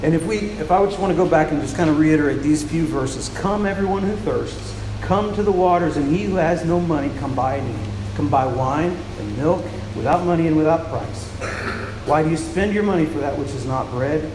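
A man preaching a sermon, soft-spoken and often repeating words as he restarts a thought, after reading scripture aloud. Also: on the high side, a little fast. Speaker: pastor. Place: church with a large echoing room. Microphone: handheld mic. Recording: echoey, reverberant hall with noise.

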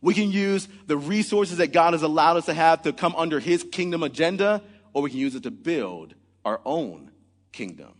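A man's voice: a little high, animated, normal speed, in a large hall. A man in his forties preaching a sermon in earnest, often opening with "man" or "look". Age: 40s. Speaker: pastor.

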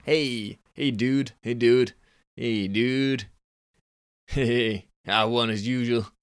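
A man's voice: medium pitch, fairly even intonation, drawn-out words, clean tone, dry recording, studio quality. A man voicing a brash young American snowboarding champion with a put-on Californian accent and a boastful surfer-dude drawl. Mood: cocky.

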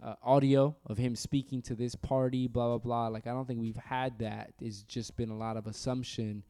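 A man from New York in casual podcast talk, his voice warm, mellow and smooth. He speaks in a relaxed, conversational way and hedges his opinions as he goes. He sits in a room across from his co-host. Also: mid-range voice, normal speed.

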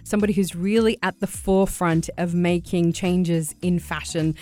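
A woman's voice: fairly low pitch, fairly even intonation, moderate pace, dark tone. A woman hosting a radio interview show, introducing and questioning a guest in an enthusiastic way with some fillers. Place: radio studio.

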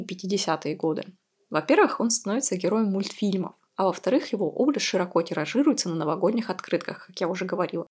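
A woman's voice: mid-range voice, a little mellow, husky. A woman hosting a podcast, going through quiz answers and explaining them in a chatty, explanatory way. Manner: chatty, explanatory, with light laughs. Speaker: podcast host.

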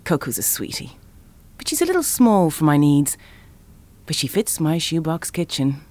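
A woman playing a playful, flirty and ambitious chocolatier in an audio drama, teasing and expressive. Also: low voice, moderate pace.

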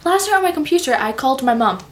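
A woman's voice: high voice, lively intonation, quick pace. A young woman co-hosting a podcast in giggly casual chat, full of fillers. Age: young adult. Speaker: podcast co-host.

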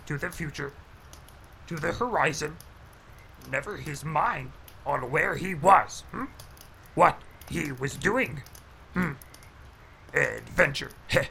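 A tiny, ancient alien sage with a very gravelly, croaking voice. His pitch sits high and swings widely as he speaks slowly in backwards phrases, cranky, impish and wise, in an audio drama. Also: bright, forceful tone, a little hiss or hum, full character voice. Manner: inverted syntax, chuckles and hums between phrases. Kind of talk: audio drama character acting.

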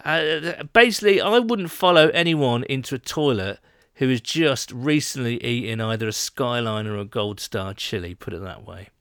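A man's voice: medium pitch, lively intonation, moderate pace, a bit bright, smooth, no room sound, studio quality. A man co-hosting a podcast in casual chat, rambling and laughing as he reads out listener questions. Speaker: podcast co-host.

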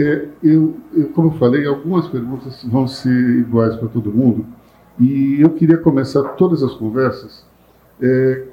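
Man hosting a panel in a roomy hall. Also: mid-range voice. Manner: joking, anecdotal. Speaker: host and interviewer. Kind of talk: casual interview chat. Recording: roomy, dull sound.

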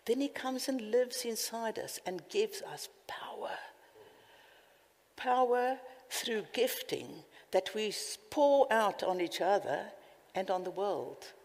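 A woman's voice: medium pitch, animated, takes it slowly. A woman with a South African accent preaching a passionate, emphatic sermon. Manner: passionate, emphatic, with sudden loud lifts. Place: church hall. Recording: reverberant hall.

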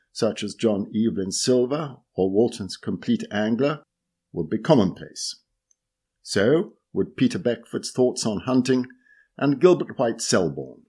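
Guy reading an essay out loud, lowish husky voice, careful and measured. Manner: measured, literary reading with lifted emphasis on key words. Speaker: reader of a magazine article. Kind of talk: read-aloud essay narration.

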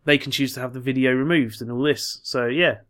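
Fast-talking man, dryly sarcastic. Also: medium pitch, steady tone, a bit bright. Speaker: podcast co-host. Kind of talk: casual gaming podcast chat.

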